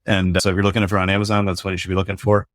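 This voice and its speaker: A man with a very low, deep voice talks through his ideas in earnest, motivational run-ons. The recording is dry and close, with no room sound. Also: little pitch movement, rapid-fire, no echo. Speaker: guest author. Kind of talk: podcast interview talk.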